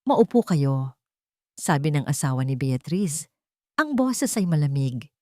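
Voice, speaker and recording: A woman reading a scripted dramatic story as its narrator, in a measured, solemn storytelling manner. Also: low voice, sing-song, mellow, dry recording, clean studio sound.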